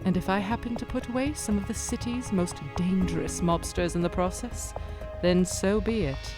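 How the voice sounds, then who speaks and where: A woman's voice: medium pitch, moderate pace, music in the background, lightly acted. A woman acting a determined young heiress in a radio play, cool and poised, with formal theatrical diction. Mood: defiant, brooding.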